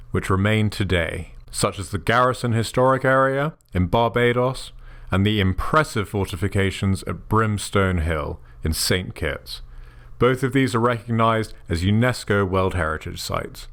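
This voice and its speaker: A man giving measured, scripted documentary narration. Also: lowish voice. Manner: measured, even documentary delivery. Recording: soft music underneath.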